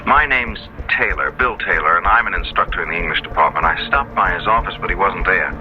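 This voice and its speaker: Man playing a low-voiced, earnest young instructor. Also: fairly quick, natural voice. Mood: polite, probing. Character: earnest young male English instructor, probing, politely persistent. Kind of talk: radio drama acting. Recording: muffled radio broadcast, music underneath.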